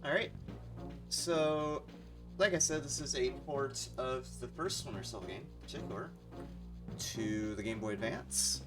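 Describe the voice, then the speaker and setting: A man's voice: mid-range voice, unhurried. A man giving casual, rambling livestream commentary as he explains the video games he plays. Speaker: video game streamer. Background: game music.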